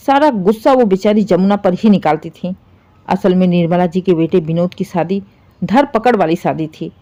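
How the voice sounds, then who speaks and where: A woman tells a dramatic scripted story with sympathy. Her delivery is expressive, with lively swings of pitch. The timbre is mellow and relaxed, at a middle height for a female voice.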